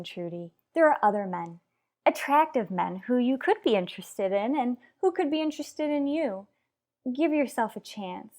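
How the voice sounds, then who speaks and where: A woman playing a headstrong married mother in a radio soap, lecturing her rival bluntly and emphatically. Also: a little high. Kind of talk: radio soap opera acting.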